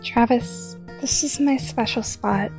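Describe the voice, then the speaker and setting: An actress playing a bold, tender young sweetheart in an audio drama, direct and encouraging with a teasing streak. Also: female voice, on the high side, slow. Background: plucked guitar music.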